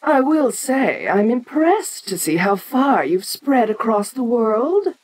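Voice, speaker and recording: An ancient kaiju giantess played by a woman speaks straight to the listener in an audio roleplay. She is imperious and condescending, taking her time over each phrase while her pitch swoops with lively, theatrical expression. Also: slow pace, full character voice, rough recording. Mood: haughty. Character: ancient giant kaiju queen, haughty, domineering female monster.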